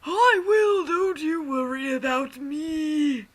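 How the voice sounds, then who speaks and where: A man voicing a grave old male wizard in a drawn-out, theatrical way, as in a fantasy story reading. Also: very high voice, a bit bright, pitched above the performer's own voice, full character voice. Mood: solemn, quavering.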